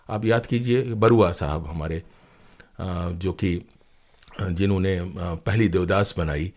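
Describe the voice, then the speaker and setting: A man with an Indian accent talking as an interview guest, telling stories in an animated, emphatic, conversational way. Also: lowish voice. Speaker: interview guest, broadcaster and playwright.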